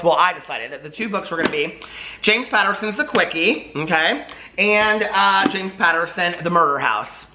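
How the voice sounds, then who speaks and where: A middle-aged American man talking casually to his viewers in a chatty, animated, rambling way. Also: high voice, background noise. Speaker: book vlogger. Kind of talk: casual chatty vlog talk.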